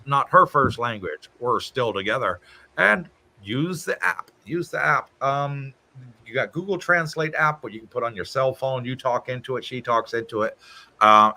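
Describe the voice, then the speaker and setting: A man's voice: mid-range voice, sharp tone. A man hosting a casual livestream from his home, reading out viewer comments and answering them in a chatty way. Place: home in Thailand.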